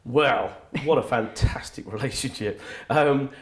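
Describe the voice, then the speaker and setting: A man as a studio guest, talking in halting, restarting sentences. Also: mid-range voice. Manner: hesitant, many restarts. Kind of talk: interview chat.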